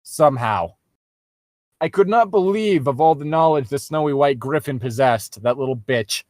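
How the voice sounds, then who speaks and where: A man's voice: medium pitch, lively intonation, moderate pace, a little mellow, dry recording, clean studio sound. A man co-hosting a comic read-aloud, mocking the story with sweary casual asides. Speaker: co-host reading stories aloud.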